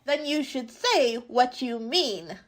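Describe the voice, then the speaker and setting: Woman as a high-pitched, mad March Hare. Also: animated, slow, rough recording. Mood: mischievous, snippy. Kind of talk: radio play acting.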